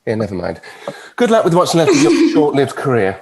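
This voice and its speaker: A suave British devil in a table read, arrogant and drily witty. The voice sits at a middle pitch, urbane and sardonic. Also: male voice, wildly swooping pitch, brisk, noisy background. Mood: wry. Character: suave, arrogant male devil with dry wit.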